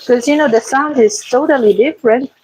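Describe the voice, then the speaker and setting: A Filipino woman chatting casually with viewers in halting English, full of fillers and restarts. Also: high-pitched, background noise. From Philippines. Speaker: vlogger. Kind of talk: casual live chat with viewers. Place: home kitchen.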